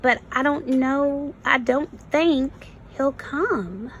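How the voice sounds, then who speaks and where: A woman voicing a sweet female butterfly in a children's storybook, tender and expressive. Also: high-pitched, drawn-out words, highs cut off, slight background noise, pitched above the performer's own voice, full character voice. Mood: sad. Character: sweet female butterfly missing her best friend. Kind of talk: children's storybook read-aloud.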